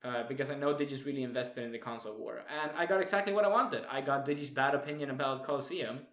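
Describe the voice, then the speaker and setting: A man's voice: mid-range voice, fairly bright tone. Swedish-accented young man, laughing, swearing. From Sweden. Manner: laughs often, swears casually, halting with fillers. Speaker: podcast co-host. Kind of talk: casual chat.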